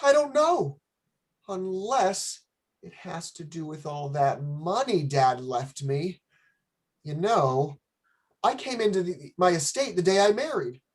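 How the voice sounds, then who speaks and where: Woman playing a low-voiced, anxious young groom. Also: big pitch swings, takes it slowly, darkish tone, full character voice. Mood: worried, earnest. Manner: hesitant, earnest. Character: anxious, hesitant wealthy young bridegroom. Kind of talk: radio play acting.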